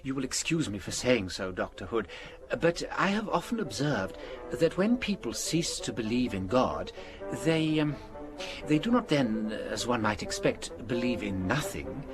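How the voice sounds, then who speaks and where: An actor playing a mild-mannered, shrewd British priest detective in a radio drama, explaining politely with small hesitations. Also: male voice, mid-range voice, big pitch swings, measured pace, over music. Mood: hesitant, gentle.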